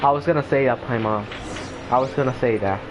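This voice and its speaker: A man's voice: mid-range voice, fast. A man reacting casually to a video game as he plays it. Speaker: gamer commenting on gameplay. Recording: dull mic, clear background noise.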